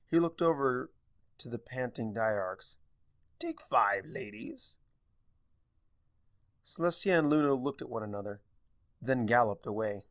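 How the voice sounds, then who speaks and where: A man narrating a fan fiction story in an expressive storytelling style. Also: mid-range voice, dry recording, clean studio sound.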